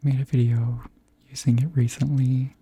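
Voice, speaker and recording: A beauty creator around thirty speaking softly in a whispery, breathy voice, gently chatting through products in an unhurried ASMR style. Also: mid-range voice, little pitch movement. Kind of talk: soft-spoken ASMR chat and product show-and-tell.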